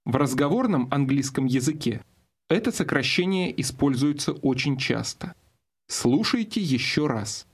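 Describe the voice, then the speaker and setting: Male instructor, husky voice, lively intonation, scripted language-course prompts. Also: mid-range voice, moderate pace. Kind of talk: scripted audio lesson narration with prompts.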